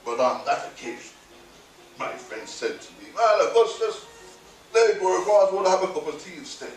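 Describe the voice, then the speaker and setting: A man's voice: high-pitched, moderate pace, very echoey, distant mic, faint music behind, rough recording. An actor playing a man recalling his arrival in 1950s London, telling it theatrically with laughing asides. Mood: indignant. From Barbados. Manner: storytelling, animated, laughing asides. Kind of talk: theatrical monologue.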